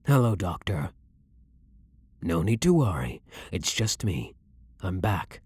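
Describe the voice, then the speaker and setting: A man playing a blunt, brooding vampire hunter, himself a vampire, in a measured, dominant ASMR roleplay with a breathy voice. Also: low voice, a little mellow, full character voice. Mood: intent, persuasive. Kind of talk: ASMR roleplay, dramatic monologue.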